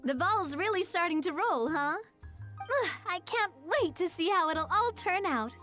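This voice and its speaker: A woman's voice: very high-pitched, expressive, measured pace, sharp tone, soft background music, heavily acted. A woman voicing an upbeat, encouraging young businesswoman in a video game, cheerful and articulate. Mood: excited.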